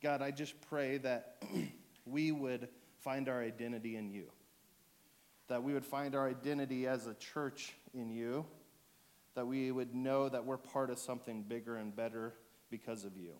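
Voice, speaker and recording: A man with a sore throat and a fading voice, preaching to his church in a relaxed way, full of ums and restarts. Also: mid-range voice, normal speed. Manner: joking, relaxed, with fillers and restarts. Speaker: pastor. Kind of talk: sermon.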